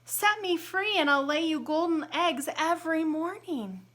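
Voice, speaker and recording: A woman voicing a frantic golden hen in a storybook reading, high and squawking. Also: animated, unhurried, a bit bright, heavily acted. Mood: pleading.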